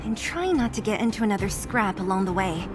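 A woman's voice: medium pitch, expressive, a little fast, over music, natural voice. A woman playing a blunt, bossy but protective young fighter in a video game, speaking in brusque commands. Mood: brusque, scolding.

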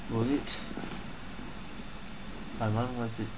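A man's voice: medium pitch, flat delivery, slow pace, noisy background. A man giving casual gameplay commentary in short, murmured reactions. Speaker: gaming video creator. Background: loud game music.

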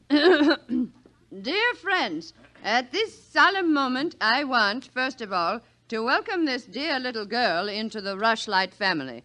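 An actress playing an elderly, commanding family matriarch with a formal, grand manner in 1940s radio style. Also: female voice, fairly high pitch, very animated, takes it slowly, pushed and bright, exaggerated voice. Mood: stately, commanding.

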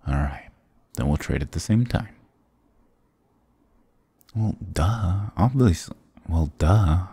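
Very deep, gravelly flirty goth guy, acted by a man. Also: mellow, dry recording, full character voice. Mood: mock-dramatic, teasing. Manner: teasing, affectionate, mock-dramatic. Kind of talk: intimate ASMR roleplay acting.

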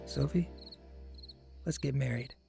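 An actor playing a gentle young husband, coaxing and protesting, in a radio drama. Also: male voice, mid-range voice, slow, vocal fry, faint music behind. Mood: tender.

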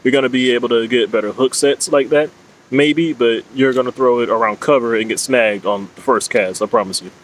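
A man in his thirties talks outdoors with faint noise behind him. His voice sits at a middle pitch. He explains fishing gear in an informal, plain-spoken, instructive way, like a vlogger talking to camera. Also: brisk, rough recording. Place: outdoors under leafy trees. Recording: clear steady outdoor background noise.

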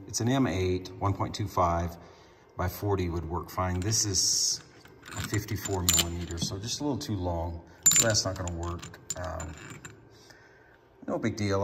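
A man giving a casual, explanatory car-build update in an easygoing, matter-of-fact way, speaking from behind a handheld camera. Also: sits low. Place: indoor home garage workshop. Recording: reverberant garage, clear background room noise.

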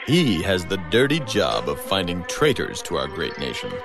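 An actor playing a theatrical horror radio show host in an audio drama, speaking in drawn-out patter. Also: male voice, low voice, huge pitch swings, a bit bright, soft background music, full character voice. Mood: sinister. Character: smooth male horror-show host Bob, menacing then pleading.

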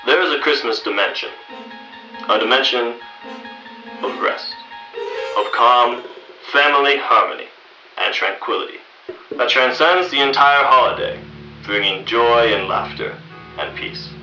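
A man's voice: mid-range voice, pushed and bright. Solemn man narrating over music. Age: 20s or 30s. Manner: solemn, deliberate, pausing. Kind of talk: slow dramatic scripted narration in the manner of an old TV anthology. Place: indoors in a furnished room. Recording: muffled, roomy, distant, music behind.

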